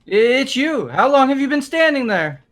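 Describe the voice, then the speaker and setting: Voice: high-pitched, big pitch swings, normal speed, fairly bright tone, faint music behind. A performer playing a blunt, jumpy young student in a fan-voiced game, with informal, exasperated delivery. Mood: startled.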